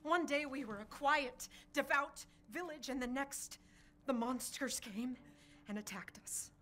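A woman's voice: sits high, unhurried, a bit bright, a little hiss or hum. An actress playing a protective village woman who gives firm orders in her home, with faint ambience behind. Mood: commanding. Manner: firm, then faltering with stammers. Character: protective village woman, anxious host of refugees.

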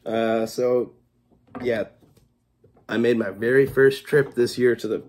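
A man's voice: mid-range voice, fairly even intonation, slow pace, darkish tone. A young man with a congested, coughing voice, speaking in a laid-back, profane way. He talks to a camera set on a table in a small home kitchen, with faint noise in the background. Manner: laid-back, swears freely, scolds his cat. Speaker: fireworks vlogger. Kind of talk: casual unboxing vlog.

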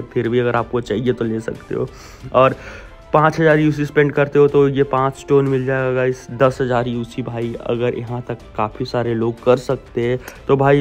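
A young Indian man giving a casual, blunt video game update, talking informally to viewers as friends over a close mic. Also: medium pitch. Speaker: gaming YouTuber. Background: background music.

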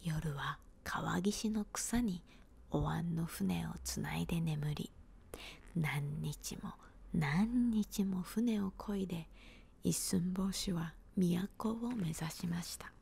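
A woman, giving a gentle, unhurried whispered ASMR storybook reading in a small room, close to a handheld recorder. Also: fairly low pitch, vocal fry, husky.

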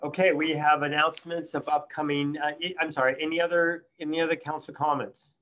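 Flat-toned man chairing a council meeting. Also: a little high, rough recording. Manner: halting, fills pauses with uh and restarts.

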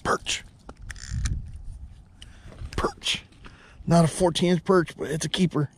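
A young man filming himself ice fishing outdoors on a frozen lake, chatting excitedly to viewers about each fish. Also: a little high, a little hiss or hum. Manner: excited, chatty, quick to laugh. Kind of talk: casual play-by-play to viewers. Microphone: head-mounted action camera.

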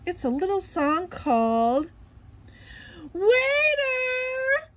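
A woman voicing an excitable, childlike young male sponge from a cartoon storybook, with exuberant, drawn-out exclamations. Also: very high-pitched, slight background noise, voice pushed up, exaggerated voice. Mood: sentimental, merry.

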